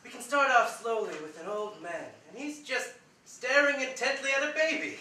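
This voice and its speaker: A man's voice: very high voice, big pitch swings, normal speed, pushed and bright, exaggerated voice. A man on stage playing a breezy, chummy, earnestly arty character in a comic play. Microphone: distant recording of the stage. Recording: very echoey theatre, distant mic, noisy.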